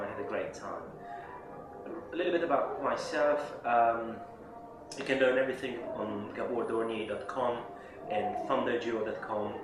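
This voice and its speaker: Man from Hungary, non-native English, lowish voice, keen and repetitive talker, heard from far off in a loud, echoey hall. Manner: enthusiastic, repeats words while searching for them. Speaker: interviewee drummer. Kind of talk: casual interview answers. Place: busy drum fair hall.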